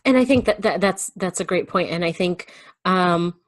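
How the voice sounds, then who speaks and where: A woman speaking as an interview guest in a reflective, casual discussion, thinking aloud in a halting way. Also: on the low side, no room sound, studio quality.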